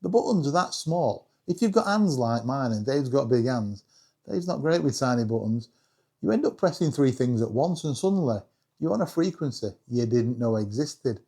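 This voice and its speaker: Male vlog host, lively swooping intonation, soft mellow tone, chatty and self-mocking. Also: medium pitch, normal speed, husky. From England. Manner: self-deprecating, jokey, addresses viewers directly. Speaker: hobbyist video creator and host. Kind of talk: casual chatty vlog presentation.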